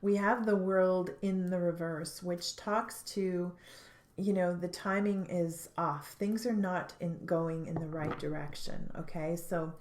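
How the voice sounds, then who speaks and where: A woman giving a tarot reading, sounding stuffed up, speaking thoughtfully with frequent pauses and restarts. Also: lowish voice, fairly even intonation, a little mellow, echoey room, slight background noise. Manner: thoughtful, hesitant, many fillers and restarts. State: stuffed up, congested. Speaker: tarot reader.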